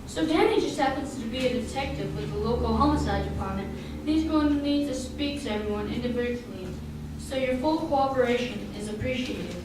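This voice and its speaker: A woman's voice: a little high, brisk, lightly acted. A woman playing an officious, eager former valedictorian in a radio play, recorded in an echoey room. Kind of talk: amateur radio play acting. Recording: very echoey room, distant mic, noisy.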